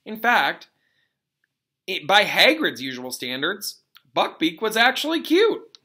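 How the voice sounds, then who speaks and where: A man reading a storybook aloud as a teacher, pausing to explain things simply and casually. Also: high-pitched, wildly swooping pitch, sharp tone. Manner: explains simply to young listeners.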